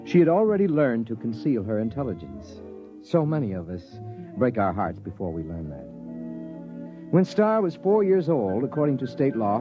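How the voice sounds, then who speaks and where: A man's voice: medium pitch, natural voice. A man acting an anxious widowed father in 1950s radio drama, earnest, hesitant and prone to stammering. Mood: somber narration. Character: anxious widowed father of a gifted little girl. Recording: muffled, roomy vintage radio recording.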